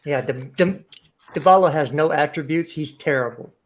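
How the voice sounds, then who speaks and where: A man's voice: on the high side, moderate pace. A man co-hosting a casual football chat, teasing the others with slangy banter. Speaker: podcast co-host.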